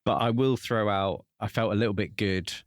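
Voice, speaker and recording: A man's voice: on the low side, steady tone, moderate pace, dry recording, studio quality. A man co-hosting a podcast and talking casually about a book. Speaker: podcast co-host.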